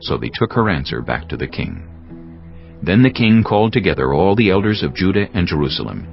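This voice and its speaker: A man narrating dramatized scripture in a solemn, deliberate, scripted style. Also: deep voice, very animated, creaky. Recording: slightly dull audio with music bed.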